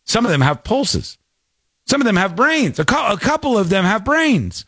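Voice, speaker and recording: Male host, lively sarcastic delivery, fairly high voice, dry close mic. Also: normal speed, slightly rough, dry recording. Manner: sarcastic, mocking, animated. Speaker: streamer host reacting to clips. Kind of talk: casual live-stream commentary.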